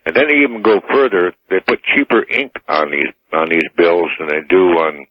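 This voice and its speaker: A man's voice: sits low, normal speed. An American man chatting casually and plainly as a caller to a radio show, on a muffled, roomy line. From Anchorage, Alaska, United States. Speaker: radio call-in listener. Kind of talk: casual chat.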